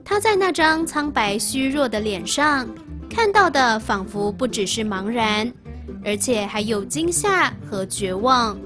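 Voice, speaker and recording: A woman reading literary sentences aloud in a scripted, measured style. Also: on the high side, big pitch swings, over music.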